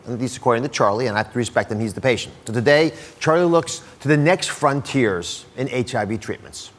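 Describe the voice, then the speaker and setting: A man's voice: medium pitch, expressive, fairly quick. Man hosting a TV talk show. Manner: upbeat announcer delivery. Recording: studio with music and crowd behind.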